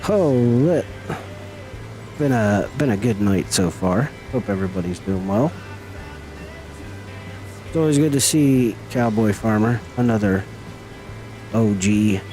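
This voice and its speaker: A man's voice: fairly low pitch, slow, long pauses, mellow, soft background music, poor audio. A middle-aged man chatting casually with viewers as he streams a game, folksy and teasing. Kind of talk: casual live-stream chat while gaming.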